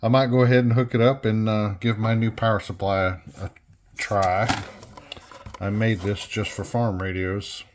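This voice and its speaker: A man giving an unscripted workbench walkthrough as a hobbyist restorer, halting and thinking aloud as he goes. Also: on the low side, level tone, a little hiss or hum. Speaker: hobbyist restorer presenting a repair.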